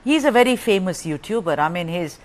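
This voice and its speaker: A woman's voice: on the low side, lively intonation, brisk. Probing news anchor woman. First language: Tamil. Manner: probing, pressing questions. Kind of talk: live TV interview.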